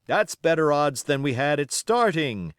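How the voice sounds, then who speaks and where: A man's voice: a little high, very animated, a little slow, fairly bright tone, clear, resonant tone, dry recording, clean studio sound. A man voicing a gallant, urgent sea captain from an adventure storybook, barking out clipped orders. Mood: eager, heartened.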